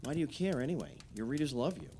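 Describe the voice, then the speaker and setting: An actor playing an earnest, outspoken and supportive friend in an audio play, talking in a blunt, chummy, naturalistic way. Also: male voice, medium pitch, lively intonation, fast, dark tone, a little hiss or hum, close to an everyday voice. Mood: curious, chummy.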